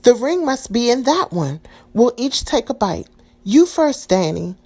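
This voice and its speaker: Woman reader doing a high, anxious young girl, earnest and emotional; storybook voice, gravelly edges. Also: very animated, measured pace, darkish tone, exaggerated voice. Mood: determined. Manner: high, cries out urgently.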